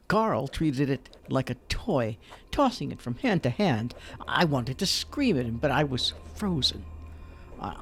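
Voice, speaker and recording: A man voicing a haunted, frightened narrator. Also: mid-range voice. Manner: uneasy, fearful confession. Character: haunted man recounting a dread secret. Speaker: voice actor. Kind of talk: radio drama narration.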